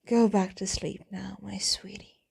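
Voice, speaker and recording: Woman as a breathy, whispering, lovesick vampire. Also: medium pitch, fairly even intonation, heavily acted. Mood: soothing, doting. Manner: slow, hushed, doting, wistful. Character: tender, wistful female vampire lover, devoted and melancholy. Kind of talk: intimate ASMR roleplay, mostly whispered.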